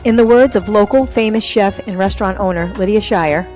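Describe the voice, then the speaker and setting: A woman's voice: medium pitch, fairly quick, darkish tone. A woman reading a scripted radio ad as a restaurant owner. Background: music bed.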